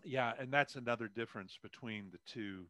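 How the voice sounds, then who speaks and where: A man's voice: medium pitch, brisk, a bit bright, dry recording. An older man, a guest author chatting casually about writing in a rambling way, with self-deprecating humour.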